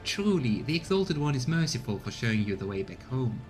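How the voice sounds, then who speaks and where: A man's voice: mid-range voice, big pitch swings, fairly quick, faint music behind, close to an everyday voice. A man voicing a devoted, solemn game officer who addresses a revered lord in formal, flowery speech. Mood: overjoyed, devout.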